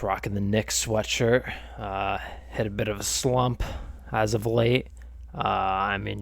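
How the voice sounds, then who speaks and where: Stuffy-nosed gravelly streamer guy. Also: on the low side, fairly bright tone, no echo. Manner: rambling, lots of uh and um fillers. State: stuffed up, nasal from a cold. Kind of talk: casual gaming stream chat.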